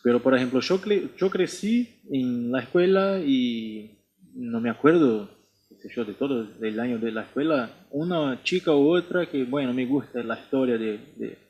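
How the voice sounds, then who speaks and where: A man from Brazil, native Portuguese speaker, co-hosting a casual podcast chat, talking haltingly with frequent restarts and repeated words. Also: medium pitch, husky, lo-fi sound. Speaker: podcast co-host, missionary.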